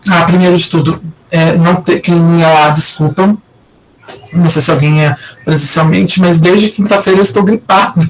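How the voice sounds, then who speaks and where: High-voiced teacher, rambling. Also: male voice, steady tone, normal speed, low-quality recording. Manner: rambling, many fillers and restarts. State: recovering from a cold. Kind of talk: casual online lecture.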